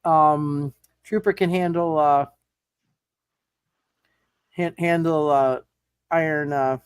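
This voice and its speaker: A man's voice: a little high, steady tone, takes it slowly, clean tone, no echo. A man narrating a live tabletop superhero game as game master, stammering and often repeating his words.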